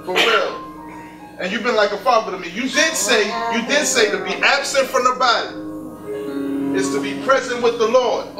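A man's voice: extremely high pitch, moderate pace. An actor playing a slangy, earnest, streetwise young man in a gospel stage play. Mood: grieving, grateful. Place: indoor stage in a furnished hall. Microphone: distant camera. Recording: distant echoey stage recording with music.

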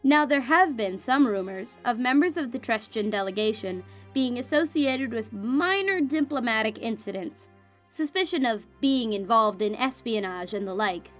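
A woman playing a cool, haughty royal spy reading a formal, measured, disdainful report in an audio drama. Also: on the high side, expressive, fairly bright tone. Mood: clinical, dismissive. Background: music under the voice.

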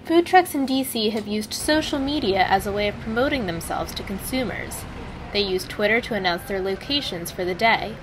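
A young woman student reporter giving a scripted news report in an even, measured way. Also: medium pitch, background noise. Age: young adult. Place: outdoors in a city park with traffic.

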